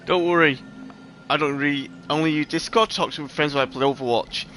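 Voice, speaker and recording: Bright-voiced man chatting on a game stream. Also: a little high, fairly even intonation, normal speed, soft background music. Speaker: live streamer. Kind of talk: casual chat with viewers while playing a game.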